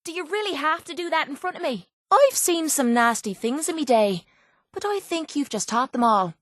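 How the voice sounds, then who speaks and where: A woman's voice: high voice, animated, normal speed, no room sound, clean studio sound. A woman voicing a tough, foul-mouthed young pit fighter in a video game, with an Irish accent and a blunt, cocky, sarcastic way of talking. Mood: disgusted, scolding. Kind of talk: video game companion voice lines.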